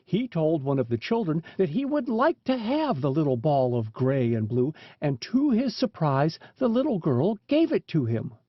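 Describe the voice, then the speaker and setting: High-pitched, lively male storybook narrator, muffled recording. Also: moderate pace, hardly any pauses, no echo. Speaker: audiobook narrator.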